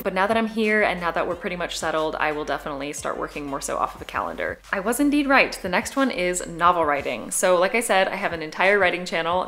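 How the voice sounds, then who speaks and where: A young woman talks to her camera from a living room sofa, chatty and upbeat. She speaks quickly, with a slightly low voice for a woman, and sounds relaxed and conversational throughout. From Midwest USA. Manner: chatty, upbeat, gestures while talking. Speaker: vlogger. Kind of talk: casual talk to camera.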